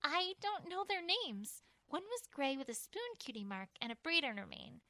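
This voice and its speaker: A woman's voice: high voice, sing-song, moderate pace, bright, forceful tone, dry recording, heavily acted. A woman voicing an anxious, caring young female pony who speaks earnestly and hesitantly in a cartoon-style audio story. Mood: worried.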